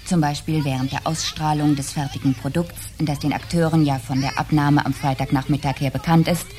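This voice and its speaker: An actress playing a sober, matter-of-fact consultant reading out a formal report in a radio drama. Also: female voice, sits low, steady tone, brisk, darkish tone, close to an everyday voice. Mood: sober, factual. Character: sober female consultant presenting a scientific report.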